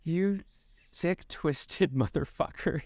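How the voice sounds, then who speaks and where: A young man co-hosting a casual podcast, animated and swearing freely, often restarting sentences. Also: fairly high pitch, takes it slowly, no room sound, studio quality. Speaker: podcast co-host. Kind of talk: casual chat.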